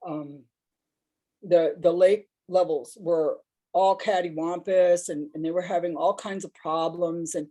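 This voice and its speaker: Woman, big voice, warm, low-pitched, reflective panel storytelling. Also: fairly even intonation, unhurried. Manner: reflective, rambling, laughs while telling stories. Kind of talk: personal storytelling on a discussion panel.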